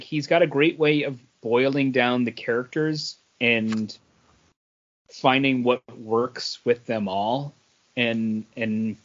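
A man's voice: mid-range voice, dull audio. A man co-hosting a podcast, giving his take in casual, rambling review chat as he thinks aloud. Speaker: podcast co-host reviewing comics.

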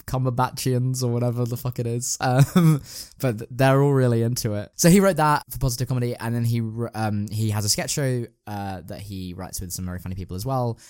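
A man's voice: medium pitch, a little fast, few pauses, a little mellow, no room sound, studio quality. A man hosting a podcast, chatting casually and explaining things in a rambling, enthusiastic way. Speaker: podcast host. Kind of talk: casual podcast chat about plays.

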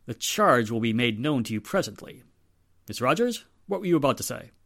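An actor playing a firm, courteous police inspector with a formal, authoritative manner in 1940s radio drama style. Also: male voice, mid-range voice, big pitch swings, fairly quick, gravelly, fairly bright tone, dry recording, clean studio sound. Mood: stern, controlled.

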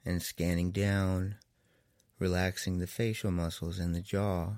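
A man leading a guided meditation as a therapist, in a soothing manner with long pauses between phrases. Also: low-pitched, little pitch movement, darkish tone, dry recording, studio quality.

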